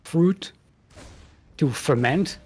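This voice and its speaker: A man's voice: a little high, expressive, drawn-out words, darkish tone, husky. A man commentating an esports match in a casual, joking, rambling way with playful banter.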